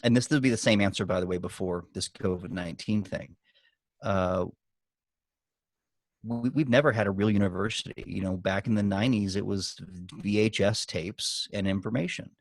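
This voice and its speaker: A man's voice: fairly low pitch, normal speed, no echo. Emphatic man coaching, dry close sound. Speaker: guest business coach and author. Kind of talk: giving marketing advice on a group video call. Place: online video call.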